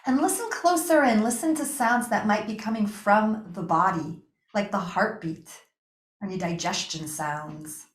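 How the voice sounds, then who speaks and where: Gentle woman guiding meditation, echoey room. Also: medium pitch. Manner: gentle, soothing, unhurried with pauses. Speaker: yoga nidra meditation teacher. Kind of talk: guided meditation.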